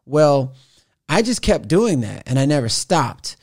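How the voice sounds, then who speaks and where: A man of forty, a podcast guest, telling his story in an animated, conversational way with frequent restarts. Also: fairly high pitch, unhurried. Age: 40s. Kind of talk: conversational interview.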